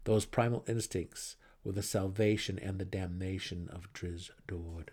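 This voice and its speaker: A man reading a fantasy audiobook as a dramatic narrator, with theatrical, deliberate emphasis. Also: low voice, fairly even intonation, a little mellow, slight background noise.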